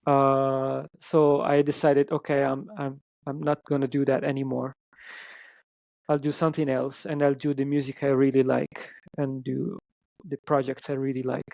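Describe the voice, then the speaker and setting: A man's voice: medium pitch, completely flat delivery, normal speed, vocal fry, a little mellow, no room sound. A man with an Italian accent, a musician guest chatting in an interview, hesitant with many ums and restarts. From Italy. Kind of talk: casual interview chat.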